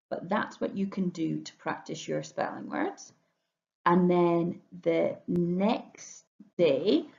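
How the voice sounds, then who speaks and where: A woman's voice: on the low side, creaky, warm tone. A woman, a primary school teacher, explaining home learning tasks in an instructional way and often checking understanding with questions. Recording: roomy echo, dull lo-fi sound.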